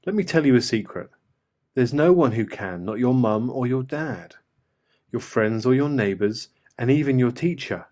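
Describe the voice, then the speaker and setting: A man's voice: mid-range voice, expressive, moderate pace, a little mellow, close to an everyday voice. A man voicing a wise, friendly talking cat in a children's storybook, kindly explaining.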